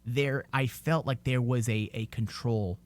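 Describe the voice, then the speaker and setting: A man's voice: medium pitch, fairly even intonation, a little slow. A man hosting a fan podcast in casual discussion, emphatically repeating phrases to make his point. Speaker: podcast host.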